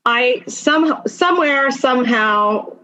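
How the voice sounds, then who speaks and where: A woman's voice: high-pitched, lively intonation, takes it slowly, sharp tone, poor audio. A woman in her fifties speaking as an interview guest, telling personal stories conversationally with emphatic repetition of key phrases. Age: 50s.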